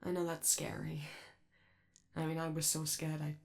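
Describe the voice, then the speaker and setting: A woman's voice: sits low, monotone, normal speed, vocal fry. A woman playing a friendly, nervous robot alien crewmate in a comforting ASMR roleplay, rambling and hesitant as they reassure the listener. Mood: reassuring, earnest.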